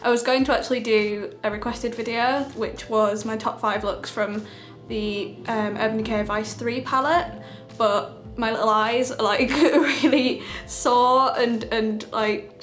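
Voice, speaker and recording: A young woman with a cold, chatting through a makeup tutorial with lots of giggles and fillers. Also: a little high. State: has a cold. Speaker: beauty vlogger. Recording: echoey room with light music.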